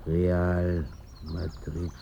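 Deep, slow male narrator, reflective and measured, scripted radio-play narration. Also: monotone, creaky, warm tone, exaggerated voice. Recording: dull sound with faint outdoor noise.

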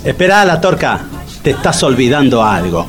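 A man's voice: medium pitch, big pitch swings, moderate pace, over music, lightly acted. An actor playing a groggy, self-pitying, good-natured working-class man with a Rioplatense Argentine accent in a comedic radio drama.

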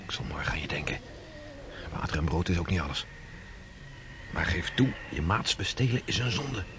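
An actor playing a lovesick, naive young sailor in a historical radio play, musing and a little hesitant. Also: male voice, low voice, animated, normal speed. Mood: wistful. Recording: noisy radio play recording.

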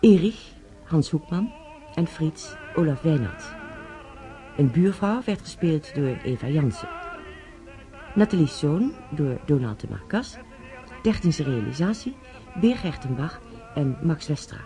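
A man's voice: on the high side, very animated, a little slow, dark tone. A man reading the closing credits of a radio drama as a scripted announcement. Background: music under the announcement.

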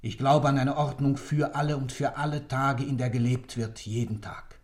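Man acting impassioned guy defending his dark creed, radio play, mid pitch, very declamatory. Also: steady tone, moderate pace, close to an everyday voice. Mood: fervent. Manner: rhetorical, fervent, declamatory.

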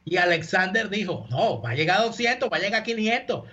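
A man's voice: high-pitched, very animated, fast, pushed and bright, breathy edge, dull audio. A man co-hosting a talk show over a video call from abroad, casual and teasing. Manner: teasing, playful. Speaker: co-host. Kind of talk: casual radio talk, joking. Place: remote from abroad.

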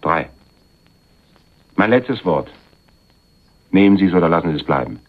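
An actor playing a shrewd, wealthy, condescending power broker in a German crime radio play, speaking in a suave, measured way. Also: male voice, sits low, lively intonation. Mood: hard bargaining. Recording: muffled 1980s radio drama recording.

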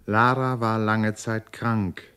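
Deep-voiced male radio drama narrator. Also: level tone, slow pace, sharp tone, clean tone.

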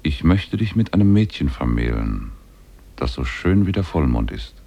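An actor playing a talking ape that is secretly a jinn, solemn, commanding and measured, in a 1950s German radio drama. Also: male voice, rumbling low, sing-song, vocal fry, warm tone, dull audio, exaggerated voice.

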